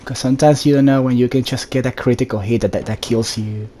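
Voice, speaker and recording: Man, casual gaming host, instructive, relaxed dark tone, very even intonation, some background noise. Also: medium pitch, fast talker. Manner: instructive, addresses viewers directly. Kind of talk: casual solo gameplay commentary.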